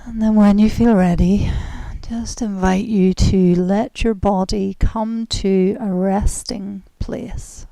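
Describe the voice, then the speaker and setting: Female meditation guide: mellow, breathy-soft, soothing, very slow delivery with long pauses. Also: mid-range voice, fairly even intonation, no room sound. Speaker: guided relaxation and yoga instructor. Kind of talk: guided relaxation, meditative instruction.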